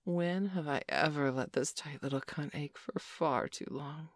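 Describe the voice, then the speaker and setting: A woman's voice: low-pitched, measured pace, no room sound. A woman performing an intimate roleplay, teasing and commanding, slipping often into whispers.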